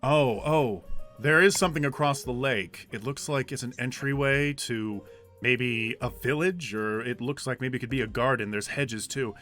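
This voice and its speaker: A man voicing an excitable, observant adventurer in a tabletop game, full of wondering exclamations. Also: medium pitch, moderate pace, soft background music, close to an everyday voice. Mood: curious wonder.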